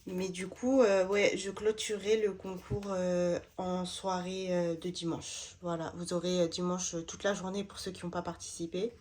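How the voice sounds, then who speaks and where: A woman's voice: on the low side, level tone, moderate pace, hardly any pauses, a little mellow. A woman, slightly stuffy from a cold, chatting casually while sorting her budget envelopes, with lots of hesitant fillers. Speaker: budgeting vlogger.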